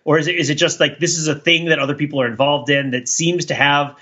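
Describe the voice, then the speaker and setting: A man's voice: fairly high pitch, fairly even intonation, fast, bright, forceful tone, highs cut off. A man co-hosting a podcast in casual, discursive chat, rambling and often questioning himself as he goes. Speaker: podcast co-host.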